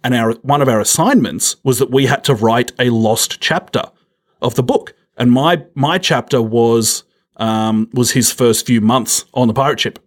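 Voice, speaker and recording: A man's voice: mid-range voice, normal speed. A middle-aged man co-hosting a podcast, talking casually about films with a dry, teasing humour. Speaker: podcast co-host. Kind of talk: casual film discussion.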